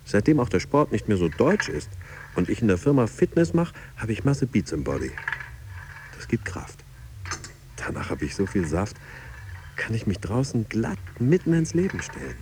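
A man's voice: fairly low pitch, very animated, normal speed, background noise. An actor playing a zealous, self-satisfied male office fitness convert, speaking emphatically with English buzzwords in a radio play.

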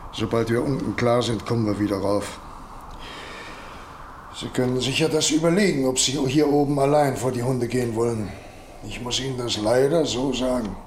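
An actor in a German radio drama playing a doctor who is matter-of-fact, measured, attentive and blunt with his patient. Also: on the low side, lightly acted. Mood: blunt, warning. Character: calm, blunt doctor tending a reluctant patient. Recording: noisy, hissy old radio recording.

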